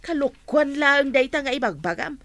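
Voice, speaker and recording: A woman's voice: sits high, animated, normal speed, a bit bright, no room sound. A woman with an Ilocano accent voicing a worried, scolding middle-aged mother in a radio drama.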